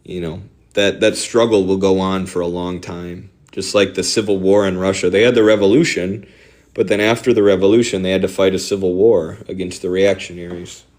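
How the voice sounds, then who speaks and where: Midwestern man, lowish voice, streamer, rambling and sardonic. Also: level tone, a little mellow. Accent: Midwestern American. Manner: rambling, fast-shifting, sardonic. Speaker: political streamer. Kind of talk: casual live-stream political talk.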